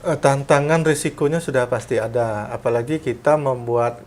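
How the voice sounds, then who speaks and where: A man from Jambi, a cartoonist guest, answering interview questions in a relaxed, halting way in his studio. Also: medium pitch, slight background noise. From Jambi, Indonesia.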